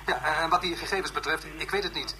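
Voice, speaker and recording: An actor playing a fussy, precise scientist in a radio drama, pedantic and emphatic with hesitant pauses. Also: male voice, fairly high pitch, bright, forceful tone, low-quality recording. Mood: sceptical. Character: fussy, precise scientist colleague, urgent and sceptical.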